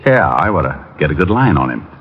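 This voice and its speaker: A wisecracking private eye. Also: male voice, very deep voice, big pitch swings, fairly quick, husky, heavily acted. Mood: dry. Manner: dry wisecracks. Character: wisecracking private detective man. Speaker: lead detective and narrator. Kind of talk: 1950s radio drama acting. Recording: dull old radio recording with music.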